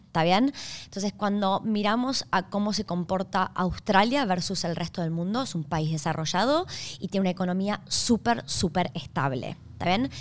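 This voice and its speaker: Argentine woman explaining to camera. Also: mid-range voice. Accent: Argentine Spanish. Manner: clear, checks in with "¿está bien?" and "¿ok?". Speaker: host.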